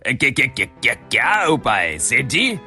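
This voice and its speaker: Man acting a sly, excitable shop servant, wildly swooping voice. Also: medium pitch, normal speed, soft background music, heavily acted. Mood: nervous. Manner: stammers when flustered. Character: sly, greedy shop servant, nervous and scheming. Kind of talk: Hindi moral-story cartoon acting.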